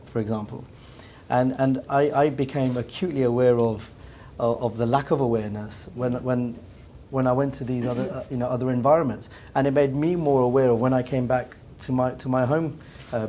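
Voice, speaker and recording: Man, English accent, panel talk, warm mellow tone, halting, faint room noise. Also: medium pitch. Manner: hesitant, many repeated words. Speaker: round table panelist. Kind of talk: panel discussion.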